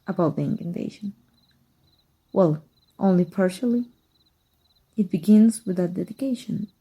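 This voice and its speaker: A woman voice actor playing a tender, teasing evil magic teacher in an intimate ASMR roleplay, slow and hushed with a husky, breathy voice. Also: mid-range voice, full character voice, low-quality recording. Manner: slow, hushed, deliberate, seductive.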